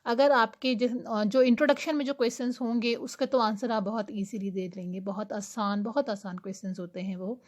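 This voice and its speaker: A young woman teaching IELTS speaking tips, giving her English tips slowly and deliberately between quicker explanations. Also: a little high, fairly even intonation. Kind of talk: explanatory lesson talk.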